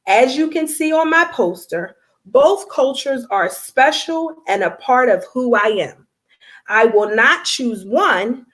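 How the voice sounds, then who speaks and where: Woman acting out a sensitive young schoolgirl, earnest, storybook character, lively pitch, unhurried delivery.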